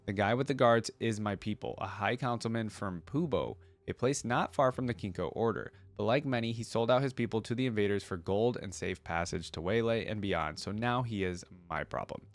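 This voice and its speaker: A man reading a story aloud as a cocky, playful young female assassin, with cool, mocking, measured delivery. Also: fairly low pitch, close to an everyday voice. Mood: coolly confident. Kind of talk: first-person story read-aloud.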